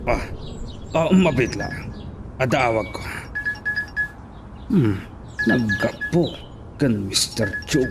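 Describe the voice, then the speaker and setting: A man playing a corrupt town mayor in an audio drama, bossy and deliberate as he gives orders. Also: mid-range voice, very animated, creaky, over music, heavily acted. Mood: calculating, businesslike. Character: corrupt, commanding male town mayor, scheming villain.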